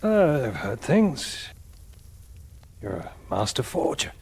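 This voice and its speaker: An actor playing an earnest, blunt warrior blacksmith in a video game, serious and measured. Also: male voice, mid-range voice, wildly swooping pitch, vocal fry, a little mellow, breathy edge, full character voice. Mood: grave. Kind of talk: video game cutscene acting.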